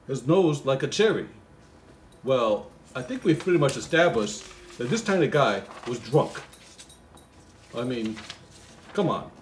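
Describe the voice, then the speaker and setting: A man's voice: medium pitch, a little mellow, a little hiss or hum, natural voice. A man playing a goofy, baffled storybook reader who mixes poem recital with slangy, mock-indignant muttered asides. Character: Floyd, goofy, baffled, easily sidetracked male storybook reader. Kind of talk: comic poem reading with rambling asides.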